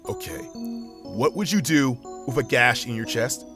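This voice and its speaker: A man's voice: mid-range voice, lively intonation, a little slow, music in the background, lightly acted. A man playing a grieving woman's mind as a blunt, exasperated, sweary inner voice in an audio drama, confronting her with pointed questions. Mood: pressing, insistent. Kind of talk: amateur audio drama acting.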